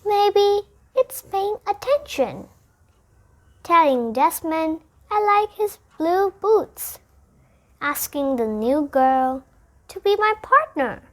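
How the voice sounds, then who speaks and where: A high, childlike voice reads a picture book aloud. The child goes slowly and deliberately through the story. Sentences come in short, separate phrases. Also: fairly bright tone. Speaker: storybook reader.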